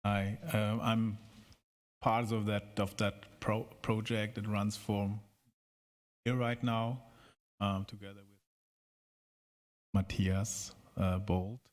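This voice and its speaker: A man speaking up from the audience at a conference, halting with many restarts and joking asides. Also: on the low side, little pitch movement. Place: conference hall.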